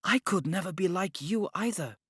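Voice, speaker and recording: A man voicing a gentle, admiring young Chinese concubine with a soft, playful manner in an audiobook. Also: high voice, lively intonation, moderate pace, slightly rough, no echo, studio quality, pitched above the performer's own voice, full character voice. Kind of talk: audiobook character dialogue.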